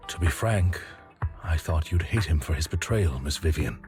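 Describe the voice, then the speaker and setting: A deep, courteous gentleman attendant. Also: animated, normal speed. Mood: composed, frank. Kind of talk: video game voice acting. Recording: very loud music behind voice.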